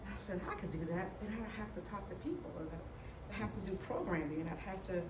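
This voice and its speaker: A woman's voice: mid-range voice, brisk, noisy background. Woman who stutters, roomy distant sound. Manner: stutters, candid and humorous. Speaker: speaker telling her story. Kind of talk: personal story talk to an audience. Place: hall before an audience.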